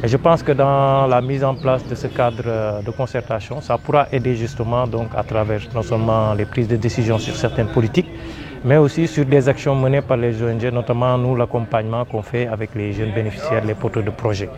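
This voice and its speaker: A man's voice: mid-range voice, fairly even intonation, fairly quick. Man explaining over outdoor chatter. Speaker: NGO worker. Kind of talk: spoken testimonial in interview.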